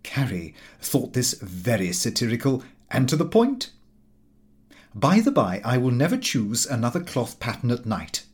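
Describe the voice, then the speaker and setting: A man reading a comic diary novel as an audiobook, with fussy, indignant storytelling. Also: mid-range voice. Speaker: audiobook reader.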